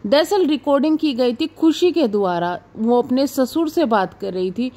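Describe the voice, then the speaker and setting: A woman's voice: high-pitched, lively intonation, moderate pace, warm tone. A woman hosting a reaction video, talking informally to viewers and explaining things in a gossip commentary style.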